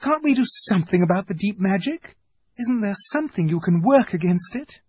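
Gentle young girl, played by a man: high, hushed, hesitant, pleading, breathy storybook voice. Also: expressive, fairly quick, dry recording. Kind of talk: audiobook character voice.

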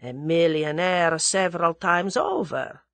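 Woman as cowardly Russian nobleman, formal, theatrical, lively pitch swings, storybook villain, close dry sound. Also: unhurried, creaky, dry recording, full character voice. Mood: haughty, smooth. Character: venomous, cowardly Russian aristocrat man, haughty then panicky.

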